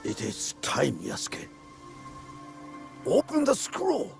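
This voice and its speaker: A man playing a wise, stern old samurai sword master in a video game, speaking in a measured, sage way. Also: on the high side, huge pitch swings, vocal fry, heavily acted. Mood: solemn. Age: older. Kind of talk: video game cutscene acting. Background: music.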